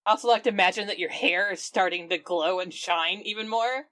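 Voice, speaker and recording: A woman's voice: mid-range voice, brisk, a bit bright. A woman chatting casually as a player in a tabletop game, chipping in with banter. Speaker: player in a tabletop RPG podcast.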